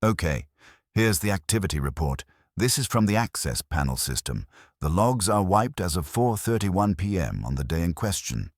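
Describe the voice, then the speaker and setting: A man's voice: deep voice, very animated, moderate pace, no echo, studio quality, pitched above the performer's own voice, full character voice. A man voicing a wary, matter-of-fact office tech worker in a detective audiobook, clipped and technical.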